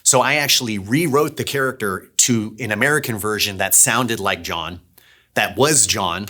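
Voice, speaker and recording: A man's voice: fairly low pitch, normal speed, sharp tone. A man hosting a behind-the-scenes series, explaining his production work in a casual, conversational way. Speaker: audio drama director and series host.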